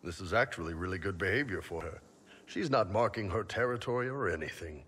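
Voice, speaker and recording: An actor playing a big, horned mercenary captain, easygoing and wryly amused, in a fantasy video game. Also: male voice, low-pitched, lively intonation, brisk, fairly bright tone, slightly rough, full character voice. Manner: laid-back, wry, amused. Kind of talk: video game dramatic acting.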